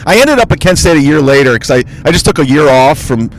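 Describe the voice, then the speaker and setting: A man's voice: fairly high pitch, animated, quick pace, slight background noise, rough recording. A man from Ohio, a comedian guesting on a podcast, telling stories in an easygoing way with lots of stammered restarts. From Ohio, USA. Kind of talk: casual podcast chat.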